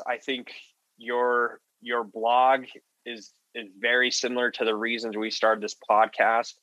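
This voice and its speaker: Bright-voiced male podcast host, dry close sound. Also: mid-range voice, no room sound, muffled recording. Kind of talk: casual conversational podcast wrap-up.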